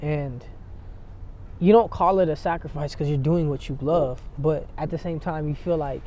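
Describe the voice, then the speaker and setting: A man's voice: a little high, fairly even intonation, normal speed, dark tone, slight background noise. A young man from San Diego, a rapper guest, chatting loosely with lots of fillers. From San Diego, USA. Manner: laid-back, rambling, heavy on filler words. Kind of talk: casual interview chat. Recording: dull lo-fi outdoor audio.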